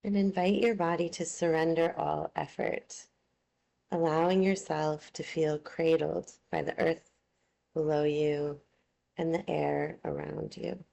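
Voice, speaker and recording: Casting: female meditation teacher, soothing and gentle, very slow delivery with gravelly vocal fry. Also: low-pitched, steady tone. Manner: soothing, unhurried, gently instructing. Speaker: yoga nidra meditation teacher. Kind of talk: guided relaxation meditation.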